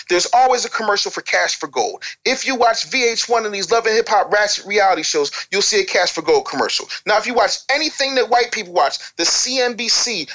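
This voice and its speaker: A man's voice: sits high, bright, forceful tone, poor audio. An American man giving opinionated, unscripted advice as a podcast guest, blunt, profane and scolding.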